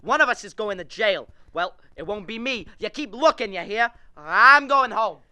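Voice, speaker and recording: A woman's voice: mid-range voice, expressive, normal speed, bright, forceful tone, smooth, no room sound, studio quality. A young woman playing a stressed, short-tempered husband and father in a radio drama, snapping in exasperation. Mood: urgent, angry. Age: young adult. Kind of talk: school radio drama acting.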